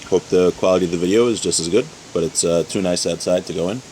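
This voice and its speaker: A man's voice: deep voice, fast talker, warm tone, low-quality recording. A man giving an informal religious lesson outdoors, explaining conversationally and often rephrasing his points. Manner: conversational, repeats and rephrases, checks in with "right?". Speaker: religious class teacher. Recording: outdoor recording with faint ambient noise.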